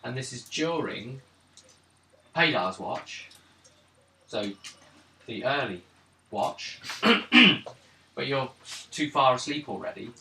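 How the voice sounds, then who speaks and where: Bright-voiced man running a tabletop game. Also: medium pitch, big pitch swings. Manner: halting, frequent throat clearing, casual swearing. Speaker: game master. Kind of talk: improvised tabletop game narration. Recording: roomy, distant mic, faint noise.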